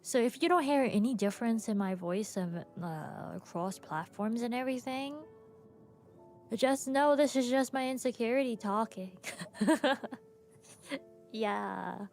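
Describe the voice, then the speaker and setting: A young woman hosting her own podcast, tired, chatting casually with pauses and soft laughs. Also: on the high side, vocal fry. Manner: soft, rambling, frequent pauses and little laughs. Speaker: podcast host and streamer. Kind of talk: casual solo podcast chat. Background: soft background music.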